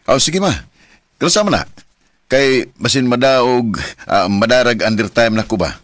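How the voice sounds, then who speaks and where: A man's voice: medium pitch, normal speed, no echo, studio quality, close to an everyday voice. An actor with a Filipino accent playing a devoted, emotional young father in a Bisaya radio drama. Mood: warm, casual. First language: Cebuano. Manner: emotive, swinging from soft talk to anguished cries. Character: devoted young father, tender, later anguished and bitter. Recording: noisy radio drama track with clicks.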